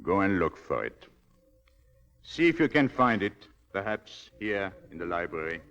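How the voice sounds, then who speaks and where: An actor playing a suave, manipulative husband in 1940s radio drama style, condescending and commanding by turns. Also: male voice, low-pitched, big pitch swings, unhurried, a bit bright, lo-fi sound, full character voice. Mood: cold, quiet. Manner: smoothly condescending, shifting to stern commands. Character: suave, controlling, manipulative husband and master of the house.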